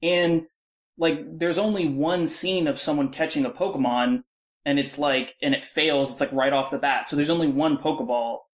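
A man's voice: on the high side, moderate pace. A man giving blunt, insistent opinions as a guest in a casual panel discussion.

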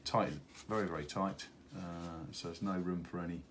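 A middle-aged man giving an unscripted product unboxing walkthrough, speaking haltingly with many hesitations. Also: sits low. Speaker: product presenter for a radio retailer. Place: indoors at a wooden table in an office. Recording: steady background room noise.

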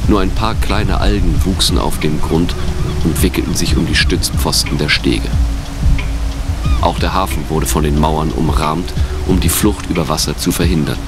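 A man narrating an audio play from a script in a measured, grave storytelling manner. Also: low-pitched. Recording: music under the voice.

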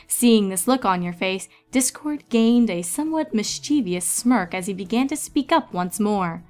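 A woman narrator reading a story aloud in a scripted, dramatic storytelling style. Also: medium pitch.

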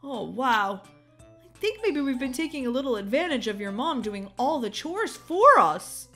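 Lazy, gushing man-child dad, played by a woman; high-voiced, animated, whiny, for game roleplay. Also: measured pace, soft background music, exaggerated voice. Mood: whiny dismay.

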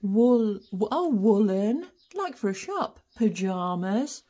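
A woman's voice: medium pitch, very animated, slow pace, warm tone. A British woman chatting casually and wryly as she explores indoors. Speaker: urban explorer and vlogger. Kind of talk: casual chat while exploring. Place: indoors, rooms of derelict farmhouse.

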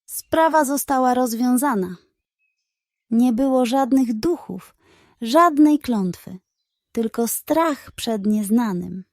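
A woman's voice: fairly high pitch, slow, no echo, studio quality. A woman storyteller reading a scripted children's bedtime story.

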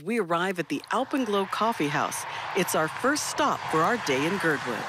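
A woman's voice: fairly low pitch, noisy background. An American woman reporter reading scripted narration for a TV feature story. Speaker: TV news reporter.

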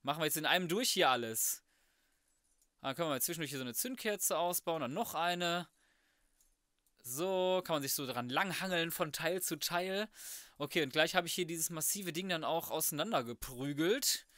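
Male German gamer, slightly high voice, slangy and crude let's play host, dry close sound. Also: lively intonation, normal speed, pushed and bright, dry recording. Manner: slangy, crude, jokey muttering to himself. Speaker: gaming YouTuber, host. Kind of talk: casual let's play commentary.

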